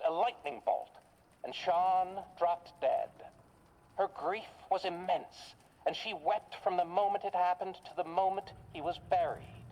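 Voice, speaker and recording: A woman playing a folksy, eerie radio host who narrates macabre horror tales with theatrical pauses in a video game. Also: fairly low pitch, dark tone, rough recording. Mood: macabre, wry.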